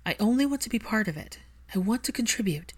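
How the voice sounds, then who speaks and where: A woman's voice: fairly high pitch, animated, fast, breathy edge, voice pushed up. A woman voicing a stubborn, affectionate young woman in an audiobook story, assertive and teasing. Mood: determined.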